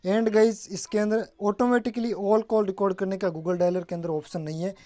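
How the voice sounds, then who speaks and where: A man's voice: sits high, steady tone, a little slow, dark tone. A man presenting a casual step-by-step tech tutorial, often addressing viewers as guys and mixing in English terms.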